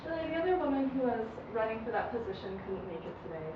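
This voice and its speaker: A woman's voice: on the high side, big pitch swings, fast talker, dull audio. A woman moderating a panel, explaining the procedure step by step. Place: public meeting room. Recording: noisy echoey room from far off.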